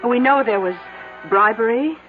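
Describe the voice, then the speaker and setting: A woman's voice: on the high side, moderate pace, natural voice. An actress playing a bold, sly small-town American woman, politely accusing, in a radio drama. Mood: coolly accusing. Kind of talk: 1970s radio drama acting. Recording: old tinny narrow-band radio, faint music.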